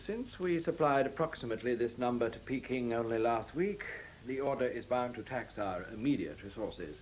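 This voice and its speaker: An actor playing a genial, busy middle-aged executive in a science fiction radio play, speaking with hesitations and exclamations. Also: male voice, medium pitch, animated, moderate pace, slight background noise, close to an everyday voice, poor audio. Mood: busy, businesslike. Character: genial, busy male executive in a future world.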